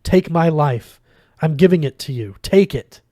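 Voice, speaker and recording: A man's voice: a little high, lively intonation, a little slow, mellow, no echo, clean studio sound, voice pushed up. Man acting a strained, dying magician, pleading. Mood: desperate, insistent. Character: badly wounded, dying magician offering his life.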